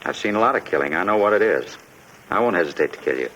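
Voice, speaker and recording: An actor playing a haunted, distrustful immigrant who escaped a prison camp, desperate and anguished, in a 1950s radio crime drama. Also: male voice, deep voice, expressive, fairly bright tone. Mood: menacing. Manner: distrustful, anguished, halting repetition under strain. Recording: muffled, noisy 1950s radio recording.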